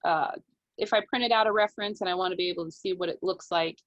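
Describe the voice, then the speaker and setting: A woman with a slightly low-set voice talks casually as a guest illustrator. She explains how she works in informal, step-by-step fashion, as in a relaxed interview conversation. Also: fast, muffled recording.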